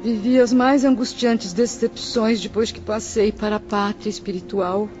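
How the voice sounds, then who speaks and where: Lady acting sad dead old mother in a radio play, mournful n slow, muffled sound w faint music. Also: mid-range voice, steady tone, darkish tone, lightly acted. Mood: tormented. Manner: mournful, drawn-out, solemn. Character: sorrowful tormented spirit of an old mother. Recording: dull radio drama recording with music.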